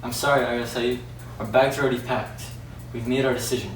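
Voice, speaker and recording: A man playing a respectful but quietly defiant servant in amateur readers' theatre. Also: medium pitch, steady tone, quick pace, natural voice. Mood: regretful. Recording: distant, echoey, faintly noisy room recording.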